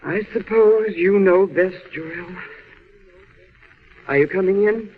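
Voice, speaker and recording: A woman's voice: mid-range voice, slow, breathy edge. A woman acting in a 1940s radio melodrama, playing a devoted, anxious alien wife and mother with a pleading manner. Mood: tender, worried. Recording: dull, very noisy old radio recording.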